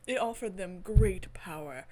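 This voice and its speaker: Slow-paced, expressive woman game streamer. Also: fairly low pitch, poor audio. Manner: giggly, enthusiastic, expressive. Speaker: gaming channel host streaming a visual novel. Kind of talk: reading game text aloud dramatically with excited asides.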